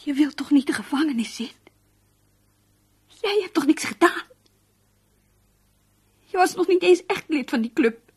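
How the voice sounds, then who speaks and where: A shaken, fretful wife in a radio drama. Her voice is high and fearful, pleading with the man she loves. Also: lively intonation, unhurried, pauses often, darkish tone. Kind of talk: Dutch radio play acting.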